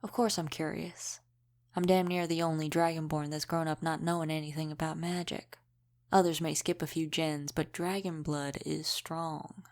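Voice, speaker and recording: Woman doing a centuries old lady vampire for audio rp, low flat voice, wry n folksy, gruff but protective, takes her time. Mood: guarded, matter-of-fact. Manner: unhurried, wry, folksy dropped g's. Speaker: audio roleplay performer. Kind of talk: intimate audio roleplay acting.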